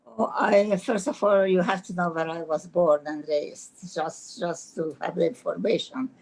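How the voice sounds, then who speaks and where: A woman in her nineties from Romania, native Hungarian speaker, recalling her childhood as an interview guest, halting with many fillers over a video call. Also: on the low side, gravelly, darkish tone, rough recording. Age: 90s. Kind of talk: recalling her life in conversation.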